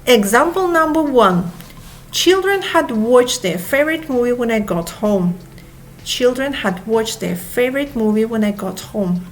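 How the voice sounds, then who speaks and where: Higher-voiced woman drilling grammar examples twice each. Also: a little hiss or hum, low-quality recording. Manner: repeats each example twice, slowly and clearly. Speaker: English teacher. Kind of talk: slow grammar lesson with drills. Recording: soft music behind the voice.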